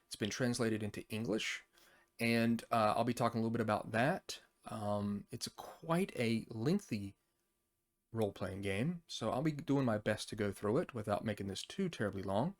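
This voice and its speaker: A man's voice: mid-range voice, expressive, unhurried. A man hosting a casual, unscripted overview, talking in a rambling, conversational way as he thinks aloud. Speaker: tabletop RPG review channel host.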